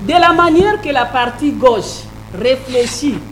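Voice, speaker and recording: Brief: woman panelist, non-native French, slow and emphatic, fairly high voice. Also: lively intonation, slight background noise. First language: a Congolese language. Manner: earnest, emphatic, with hesitant restarts. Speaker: panel speaker. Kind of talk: public debate remarks.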